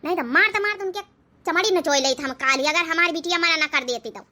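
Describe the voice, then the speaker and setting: A woman's voice: very high voice, fast, crowd noise. A woman voicing a bossy village mother-in-law who scolds and threatens, in Awadhi-accented comic cartoon dialogue. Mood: angry, commanding. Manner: haranguing, threatening.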